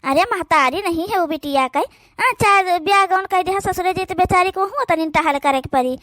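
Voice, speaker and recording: A woman with an Awadhi accent voicing a gossiping, sharp-tongued married sister-in-law in a cartoon, full of exclamations. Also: very high-pitched, moderate pace, no room sound, clean studio sound. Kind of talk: cartoon voice acting.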